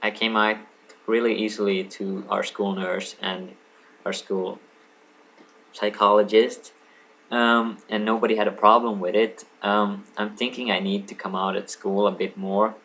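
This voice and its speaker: A man's voice: lowish voice, little pitch movement, a little slow, fairly bright tone. A young man in his late teens, a native Swedish speaker, rambling casually to a webcam at home, full of ums, asides and apologies. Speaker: vlogger. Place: home room lit by a lamp.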